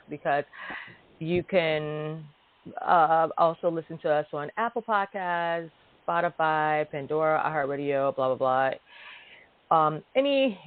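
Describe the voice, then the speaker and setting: A woman's voice: lowish voice, no echo. Woman podcaster on a phone line. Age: 40s. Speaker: podcast host. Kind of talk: casual call-in show chat. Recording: narrow phone-line sound.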